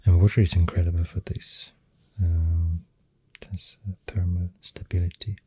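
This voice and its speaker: Very soft, breathy Swedish-accented ASMR whisperer. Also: low voice, flat delivery, no echo. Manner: hesitant, unhurried musing. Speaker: ASMR host. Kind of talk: soft-spoken ASMR rambling. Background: pencil drawing and clicking sounds.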